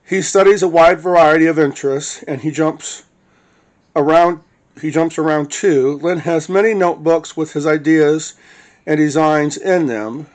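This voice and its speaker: A man with a fairly high-pitched voice reads a written witness statement aloud. He acts as the narrator, delivering prepared text. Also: a little slow, a little mellow.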